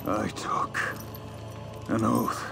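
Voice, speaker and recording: An actor playing a solemn, oath-bound warrior in a game, speaking weightily and haltingly in a very gravelly voice. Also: male voice, on the low side, fairly even intonation, full character voice. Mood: accusing. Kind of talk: dramatic game cutscene acting. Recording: music behind the voice.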